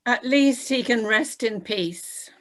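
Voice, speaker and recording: A woman voicing a troubled, conscience-stricken male Roman soldier, speaking slowly and earnestly. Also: a little high, fairly bright tone. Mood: resigned.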